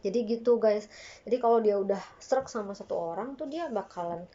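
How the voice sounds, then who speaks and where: Mellow-voiced Indonesian woman vlogger. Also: mid-range voice, animated, fast, lo-fi sound. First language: Minangkabau. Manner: chatty, switches between Indonesian and English, affectionate. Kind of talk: casual couple Q&A chat.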